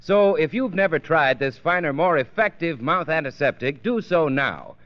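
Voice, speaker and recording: A man's voice: on the high side, lively intonation, normal speed. A man working as a radio announcer, reading an advertisement in a polished, persuasive 1930s style. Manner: polished, persuasive salesman delivery. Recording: muffled old-time radio broadcast.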